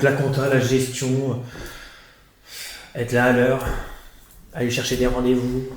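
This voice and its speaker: Male guest, 30s, conversational storyteller, echoey room. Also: medium pitch. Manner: animated storytelling with many restarts and fillers. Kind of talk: conversational life-story interview.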